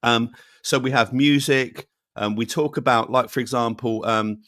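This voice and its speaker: A man's voice: medium pitch, steady tone, moderate pace, fairly bright tone, no room sound. Male guest on a video call; rambling, fillers, playful, dry close sound. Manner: rambling, many fillers and restarts; jokey. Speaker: channel host, guest. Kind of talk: casual interview chat.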